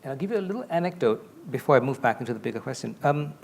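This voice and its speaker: A man's voice: mid-range voice, animated, fast, creaky, a little mellow. Middle-aged man from Malaysia on stage. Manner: expansive, explanatory, gestures a lot while talking. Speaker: panelist. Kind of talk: conference panel discussion. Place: large stage with a curtain backdrop.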